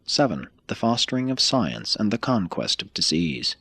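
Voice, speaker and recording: Male audiobook reader, husky, dry close sound, measured and emphatic scripted delivery. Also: lowish voice, sing-song, pushed and bright, dry recording. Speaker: reader of a spiritual text. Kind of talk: scripted audiobook-style reading.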